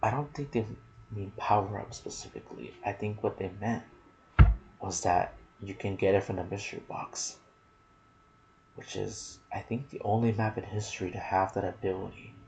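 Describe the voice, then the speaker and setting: Male ASMR gaming host, soft and intimate delivery slipping into whispers, low gravelly register. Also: steady tone, moderate pace, poor audio. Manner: soft-spoken, sometimes dropping to a whisper. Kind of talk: ASMR gaming commentary, reading trivia with asides.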